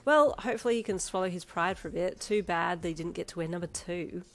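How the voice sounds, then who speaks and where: A woman voicing a bubbly, excitable young girlfriend who squeals and gushes. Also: fairly quick, close to an everyday voice. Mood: playful. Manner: high, squealing, gushing.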